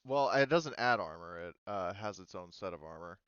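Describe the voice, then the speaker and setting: Low, smooth-voiced man, dry and slightly dull. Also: lively intonation, normal speed, a bit bright, dry recording. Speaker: game master. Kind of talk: casual tabletop game session talk.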